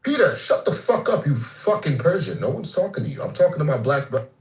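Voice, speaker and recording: A man's voice: on the high side, very animated, a little fast, darkish tone, reverberant. A man from Africa in a heated group voice chat, talking brashly and profanely and taunting others. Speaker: voice chat participant.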